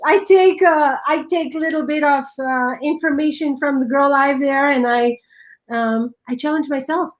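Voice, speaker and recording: A woman with a foreign accent chatting casually on a live stream, excitable and quick to laugh, often restarting her sentences. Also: high-pitched. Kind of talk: casual chat.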